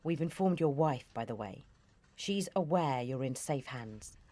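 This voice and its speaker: A woman's voice: very deep voice, animated, unhurried, mellow, no echo, full character voice. A British woman playing a composed senior intelligence officer in a radio drama, formal, firm and businesslike. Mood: politely formal.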